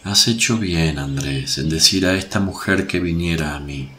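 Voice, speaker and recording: An actor playing a compassionate, authoritative holy teacher in a radio play, solemn and measured. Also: male voice, deep voice, pushed and bright, slight background noise. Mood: solemn, gentle. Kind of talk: Spanish-language radio play acting.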